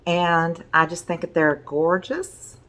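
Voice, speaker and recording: A middle-aged woman with a hoarse voice, chatting casually as a resin art teacher while showing her work at home. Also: fairly low pitch, steady tone, slow, a bit bright, a little hiss or hum. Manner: chatty, enthusiastic, meandering. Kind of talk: casual video talk showing artwork.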